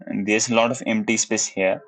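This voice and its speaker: A young man with a Nepali accent talks through his coding live, in a fairly low, easygoing voice. He mutters to himself, chuckles, and fills pauses with hummed la-la tunes. He sits close to a desk mic. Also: steady tone, fairly bright tone. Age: young adult. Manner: thinks aloud, hums la-la while working; laid-back, chuckles, switches into Nepali. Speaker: livestreamer learning web coding. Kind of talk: casual live coding commentary.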